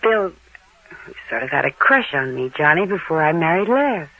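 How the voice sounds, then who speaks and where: A woman's voice: sits low, big pitch swings, moderate pace, exaggerated voice. An actress playing an evasive, flustered married American woman who hesitates and stammers, in old-time radio drama style. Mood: reluctant, flustered. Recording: narrow, telephone-like old radio recording.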